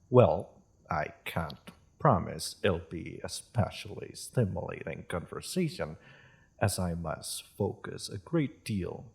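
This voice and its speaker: Guy doing a polite gentle dragon for an audio roleplay, husky voice, really slow and courteous, kinda hesitant. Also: deep voice, lively intonation, heavily acted. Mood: focused, gracious. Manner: polite, refined, hesitant, softly chuckling host. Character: courteous, gentle dragon hosting tea in its cave. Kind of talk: roleplay audio drama, addressing the listener.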